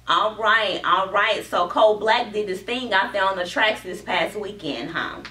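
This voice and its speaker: A woman in her thirties hosting an interview show with brisk, cheerful presenter patter. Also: medium pitch, sharp tone. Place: small studio office. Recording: echoey room, mic far off, faint noise.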